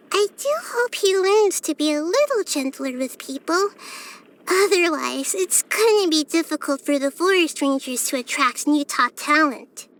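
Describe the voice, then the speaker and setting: A small child-like goddess from a fantasy video game, her voice high and girlish. She speaks gently and thoughtfully, like someone far wiser than she sounds. Her phrasing is measured and earnest, with a steady curiosity about people and the world. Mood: thoughtful, gentle. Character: small wise young girl deity, gentle and curious. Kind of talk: scripted video game character voice lines.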